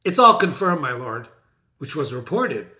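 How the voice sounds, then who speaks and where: A man's voice: a little high, very animated, moderate pace, pushed and bright, full character voice. A man playing a meek, nervous servant reporting to his king in a dramatic play reading, hesitant and deferential.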